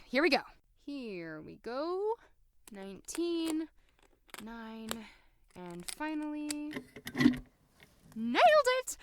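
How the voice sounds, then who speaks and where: A woman's voice: high-pitched, fairly bright tone, a little hiss or hum, heavily acted. A woman playing a determined, sly female doctor in an audio drama, polite but pointed. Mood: tense, excited.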